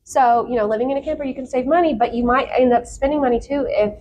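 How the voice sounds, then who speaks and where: A woman talking as a podcast guest in a casual, candid and expressive way, prone to giggling. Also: sits high, quick pace. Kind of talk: casual chat. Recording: clear background noise.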